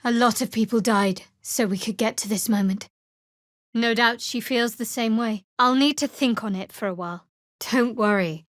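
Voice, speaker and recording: An actress voices a young woman cleric devoted to a dark goddess in a fantasy video game. Her voice sits fairly high, with a guarded, wry edge and measured delivery. The sound is very dry and free of room echo. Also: lightly acted. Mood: measured, serious. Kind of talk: video game character voice lines.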